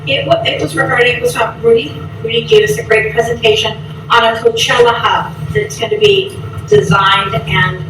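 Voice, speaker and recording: A woman chairing a committee, reporting to a public board meeting in an upbeat, effusive way with a loud voice. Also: fairly high pitch, steady tone, moderate pace. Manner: upbeat, effusive, restarts often. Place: large echoey meeting room. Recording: echoey, distant, noisy meeting recording.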